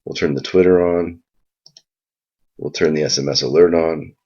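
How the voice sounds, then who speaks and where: Deep husky man, casual tutorial. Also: fairly even intonation, normal speed, dark tone. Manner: informal, explanatory. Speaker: software tutorial presenter. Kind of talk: casual spoken screen walkthrough. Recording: dull lo-fi mic sound.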